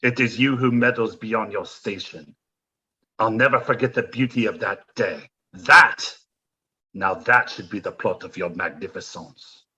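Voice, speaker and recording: Grandiose villainous French duke, raspy and forceful. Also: male voice, lowish voice, lo-fi sound, full character voice. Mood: scornful, zealous. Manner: grandiose, mocking, florid delivery with dramatic drawn-out phrases. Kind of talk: theatrical audio play acting.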